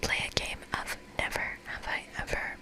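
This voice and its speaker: A woman whispering softly as an ASMR host, reading a game aloud expressively. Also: normal speed. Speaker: ASMR host playing a visual novel game.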